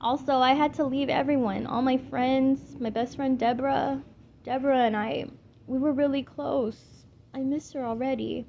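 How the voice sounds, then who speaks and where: A woman acts out a sullen teenage stepsister from a storybook, bitter and fussy. Her voice is on the high side and whiny, with clear noise on the homemade recording. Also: steady tone, normal speed, mellow. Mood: bitter, hurt. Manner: whining, complaining. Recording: clearly noisy amateur home recording.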